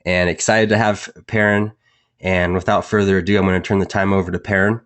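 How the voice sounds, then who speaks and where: Deep-voiced man hosting a webinar. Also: flat delivery, a bit bright. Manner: halting, many fillers and restarts. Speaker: webinar host introducing a guest. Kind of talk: casual introduction.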